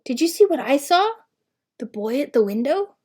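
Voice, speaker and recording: A woman's voice: high-pitched, sing-song, normal speed, darkish tone, pitched above the performer's own voice. A woman voicing a polite, earnest, apologetic young boy in a storybook, speaking hesitantly and delicately. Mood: earnest, unsure. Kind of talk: storybook character dialogue.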